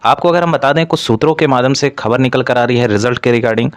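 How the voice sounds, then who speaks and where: Male explainer host, mid-pitched, informal, speaking straight to students, dry recording. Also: steady tone, quick pace, dry recording. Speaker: exam-news presenter for job aspirants. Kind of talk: informal explainer narration to camera-style audience.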